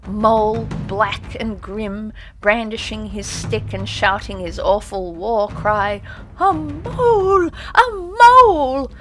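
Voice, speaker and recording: A woman narrating a classic children's story as an audiobook, reading in a lively, theatrical way. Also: medium pitch, background noise.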